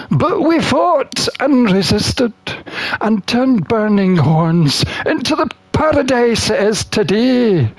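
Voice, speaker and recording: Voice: medium pitch, dry recording. A game streamer voicing a contented, wine-loving satyr herbalist who narrates a visual novel in a leisurely, dreamy way.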